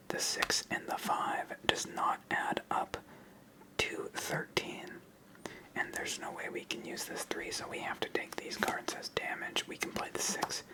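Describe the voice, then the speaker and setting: A fully whispered voice hosts an ASMR solitaire session. The delivery is hushed and unvoiced, narrated step by step in an explanatory way, close to the microphone. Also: low-quality recording. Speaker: host playing a solitaire card game. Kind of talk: ASMR whispered game commentary.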